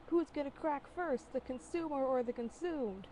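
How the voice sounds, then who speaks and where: A woman's voice: sits high, normal speed, warm tone, slight background noise, voice pushed up, close to an everyday voice. A woman voicing a mischievous prankster boyfriend in a fan fiction reading, dramatic and declaiming. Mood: theatrical menace. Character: mischievous, theatrical prankster boyfriend, a gleeful man.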